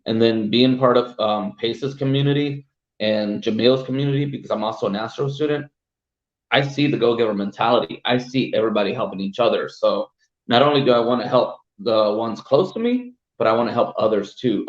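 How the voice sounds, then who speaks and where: A young man from El Salvador talking earnestly in a casual podcast chat, often speeding up in quick bursts. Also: on the low side, reverberant, lo-fi sound.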